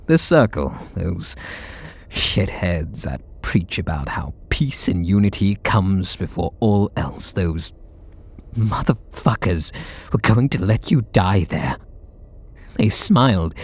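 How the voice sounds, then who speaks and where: A brooding male super villain speaks in a fairly low voice, acted out by a man. He is sardonic and hides a deep devotion. The delivery is scripted ASMR roleplay, with dramatic pauses. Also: darkish tone, slightly rough, exaggerated voice. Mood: bitter, angry.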